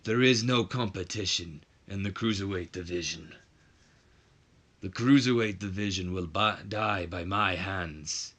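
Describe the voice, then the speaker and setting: A menacing male wrestling champion, played by a man, drawing out each threat slowly. The voice is low and husky, theatrical and full of grandiose doom. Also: fairly bright tone, heavily acted. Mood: ominous. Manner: slow, theatrical, ominous.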